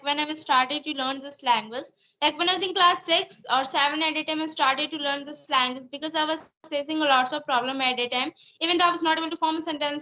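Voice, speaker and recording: A woman's voice: high-pitched, pushed and bright, low-quality recording. A teenage girl from Jharkhand with an Indian English accent, speaking eagerly and politely as a student on a video call. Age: teens. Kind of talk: online conversation practice.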